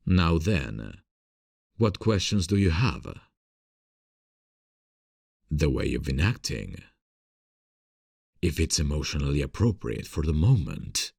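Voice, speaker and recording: A man playing a gentle, encouraging theater director who is secretly smitten, in a breathy, gravelly scripted ASMR audio roleplay. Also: rumbling low, no echo, clean studio sound, exaggerated voice. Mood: friendly, willing. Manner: gentle, encouraging, soft chuckles. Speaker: voice actor.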